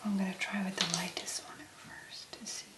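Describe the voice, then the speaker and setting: A woman doing soft-spoken ASMR make-up commentary in a breathy, mostly whispered voice, musing gently as she works. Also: mid-range voice, little pitch movement, echoey room. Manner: slow, gentle, unhurried musing. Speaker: ASMR video creator.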